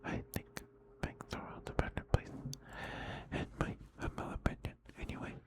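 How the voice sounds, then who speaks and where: Whispering male ASMR streamer. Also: normal speed. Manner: affectionate, soothing, repetitive praise.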